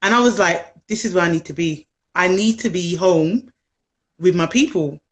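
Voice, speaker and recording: A woman's voice: lowish voice, moderate pace. A young British-born woman calling in as a viewer, talking earnestly in a casual live chat over a video call. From England. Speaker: viewer guest.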